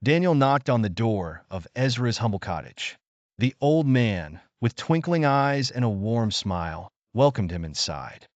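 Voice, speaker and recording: A man narrating a scripted bedtime story for children in a measured, expressive manner. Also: medium pitch, dry recording, highs cut off.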